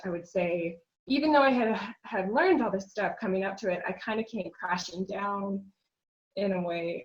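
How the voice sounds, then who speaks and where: A woman's voice: on the low side, muffled recording. A woman guest on a podcast telling a long personal story in an earnest, rambling way, with frequent "um" and "you know.". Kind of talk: casual interview chat.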